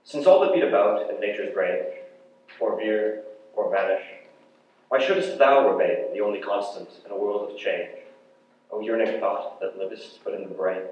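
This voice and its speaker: A man's voice: fairly low pitch, moderate pace. A young man in his teens giving a dramatic, declamatory poetry recitation with emphatic, theatrical phrasing. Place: large echoey indoor hall at a poetry competition. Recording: very echoey hall, distant dull mic, faint noise.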